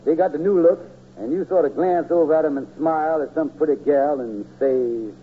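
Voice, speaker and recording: An actor playing a folksy, scheming con-man salesman in a radio comedy, glib and wheedling, with drawn-out hesitations and mangled words. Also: a little high, close to an everyday voice. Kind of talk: 1940s radio comedy acting. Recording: tinny, hissy old radio broadcast.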